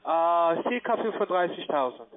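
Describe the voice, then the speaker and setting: A man giving a phone sales pitch in halting non-native German with grammar slips, as a call center agent. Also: expressive. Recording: tinny band-limited phone line.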